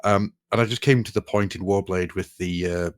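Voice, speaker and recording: A man's voice: low voice, steady tone, moderate pace. A man in his forties hosting a casual podcast chat, rambling, sweary and wryly self-aware. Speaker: podcast host.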